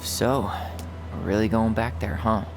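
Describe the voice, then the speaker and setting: A man's voice: lowish voice, fairly even intonation, a little slow, gravelly. A man playing a guarded, stubborn young brother in understated, casual video game dialogue. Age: young adult. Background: game music.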